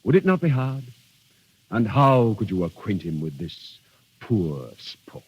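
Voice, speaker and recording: An actor playing an earnest, gallant army captain in love in a vintage radio drama, with formal, courtly, hesitant phrasing. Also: male voice, on the low side, very animated, a little slow, a little mellow, breathy edge, lo-fi sound, exaggerated voice. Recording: old radio recording with music behind.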